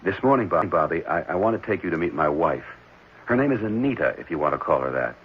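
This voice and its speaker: A man playing a gentle, reassuring middle-aged adoptive father in radio drama, patient and faintly uneasy. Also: sits low, expressive, quick pace. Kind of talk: 1970s radio drama acting. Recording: muffled, noisy 1970s radio recording.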